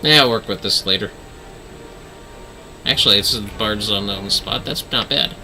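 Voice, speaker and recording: A man giving casual livestream game commentary in an animated way, often talking to himself. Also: on the low side, moderate pace, slight background noise, poor audio. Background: music.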